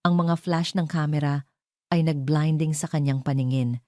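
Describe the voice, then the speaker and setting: Low-pitched woman narrating a dramatic story. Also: steady tone, moderate pace, warm tone, no room sound, studio quality. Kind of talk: scripted narration of romantic audio drama.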